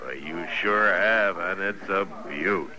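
Deep, laconic frontier lawman. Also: takes it slowly. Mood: amused. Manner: laconic, dry humor. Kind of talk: 1950s radio western acting. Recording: old radio recording, busy background chatter.

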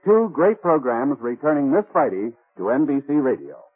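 A man's voice: mid-range voice, very animated, moderate pace. An American man announcing for a 1950s radio network in a formal, polished scripted style. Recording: muffled vintage radio broadcast, faint music.

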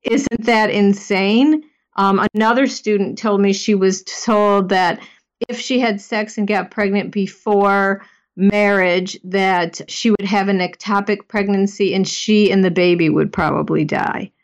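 A woman's voice: medium pitch, fairly even intonation, a little slow, lo-fi sound. A woman speaking earnestly and emphatically in a conversational chat, often saying "you know".

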